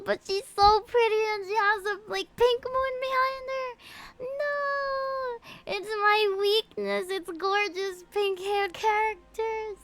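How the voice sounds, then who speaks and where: A woman with a Filipino accent doing casual livestream game commentary in a gushing, excitable manner. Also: very high-pitched. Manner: gushing, excitable, reads game dialogue aloud. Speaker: VTuber livestreamer. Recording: game music behind the voice. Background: game music.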